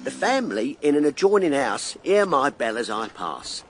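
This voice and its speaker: A man's voice: mid-range voice, very animated, normal speed, slight background noise. A man acting an earthy, wry and plaintive working-class Londoner of plague times in a radio drama, talking colloquially. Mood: wry storytelling.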